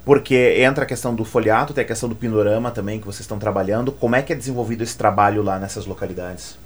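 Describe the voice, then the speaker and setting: A man interviewing a couple, asking long questions with frequent restarts. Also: on the low side. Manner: halting, restarts questions often. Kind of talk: conversational interview.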